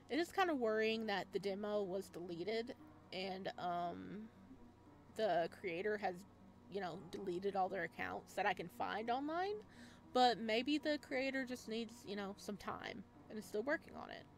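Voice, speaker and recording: A woman gives casual let's play commentary in a mid-range voice. She is chatty and giggly, reacting loosely as she goes, with music playing behind her. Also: medium pitch. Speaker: gaming video host. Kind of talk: let's play commentary and reading game text aloud.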